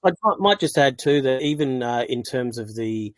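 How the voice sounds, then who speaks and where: A man's voice: medium pitch, lively intonation, moderate pace, no echo. A man answering questions in an online AMA as a project team member, casual and easygoing.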